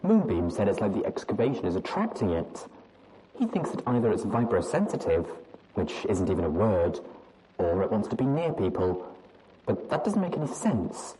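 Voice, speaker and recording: A woman's voice: very deep voice, very animated, normal speed, mellow, slight background noise, poor audio. A woman reading aloud as an anxious young adult mare pony who rambles hesitantly and doubts herself. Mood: confiding. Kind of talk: audiobook reading of fan fiction.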